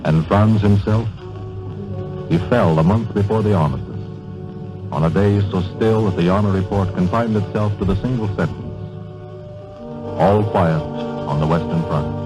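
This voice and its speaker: An actor playing the solemn narrator of a war tragedy in 1930s radio drama. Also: male voice, low voice, moderate pace, long pauses, over music, lightly acted, low-quality recording. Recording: vintage 1930s radio broadcast.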